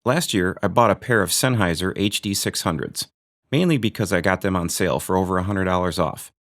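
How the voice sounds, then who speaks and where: Guy w a lowish voice doing a scripted gear review, dry jokey asides. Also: brisk, fairly bright tone. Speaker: video host reviewing gear.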